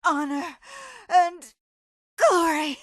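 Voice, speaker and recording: An actress playing a dedicated, drily impatient military research scientist in a video game, precise, matter-of-fact and slightly prim. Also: female voice, very high voice, steady tone, extremely slow, fairly bright tone, no room sound, studio quality, exaggerated voice. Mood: rallying battle cry. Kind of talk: video game character dialogue.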